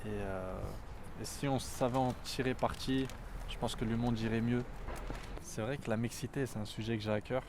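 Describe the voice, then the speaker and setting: A man's voice: mid-range voice, fairly even intonation. Earnest young man, street noise behind. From France. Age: 20s. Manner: earnest and reflective, often pausing to think. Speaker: interviewee. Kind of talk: documentary interview talk.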